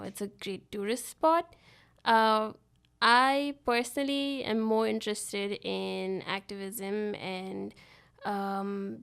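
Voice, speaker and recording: Young woman from dubai, bit of vocal fry, easygoing studio guest, mid pitch. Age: 20s. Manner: relaxed, thoughtful, laughs easily between thoughts. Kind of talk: conversational interview. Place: radio studio.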